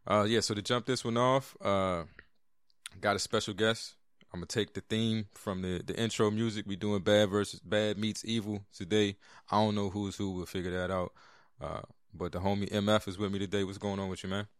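A man's voice: on the low side, level tone, a little fast, clear, resonant tone, dry recording, clean studio sound. A man hosting a casual podcast, laid-back and rambling, often restarting his sentences. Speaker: podcast host. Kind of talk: casual chat.

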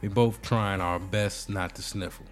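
A man's voice: sits low, fairly even intonation, unhurried, music in the background. A man with a cold and a nasal voice hosting a casual podcast, joking and bantering. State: sick, nasal. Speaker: podcast host. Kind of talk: casual chat.

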